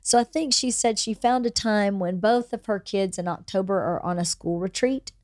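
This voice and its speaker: A woman's voice: medium pitch, normal speed, darkish tone, dry recording, clean studio sound. A woman hosting a podcast, chatting candidly with a friend while she has a cold and is losing her voice; she swears casually. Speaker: podcast host. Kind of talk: casual chat between friends.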